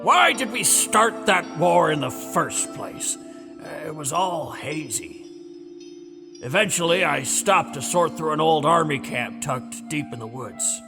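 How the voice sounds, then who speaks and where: Gravelly old warrior, blustery and defensive, swinging wildly in pitch, long cavern reverb. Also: male voice, animated, takes it slowly. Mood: haunted, weary. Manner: blustery, rambling storytelling, stammers when challenged. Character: gruff, defensive old male war veteran and dragon-slayer, Abraxas. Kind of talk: audio drama acting. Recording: reverberant hall with music behind.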